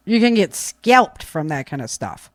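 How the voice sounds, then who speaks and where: A woman's voice: lowish voice, big pitch swings, measured pace, no room sound. A woman talking casually and insistently, repeating key phrases for stress like a podcast speaker giving firm advice. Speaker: podcast speaker on preparedness and self-defense. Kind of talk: casual, opinionated talk.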